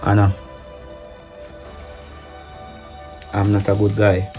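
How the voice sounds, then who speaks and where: A man playing a hurt, betrayed husband, speaking low and measured, then forcefully. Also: monotone, soft background music, lightly acted. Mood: subdued. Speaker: husband in a domestic drama skit. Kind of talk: improvised-sounding skit acting.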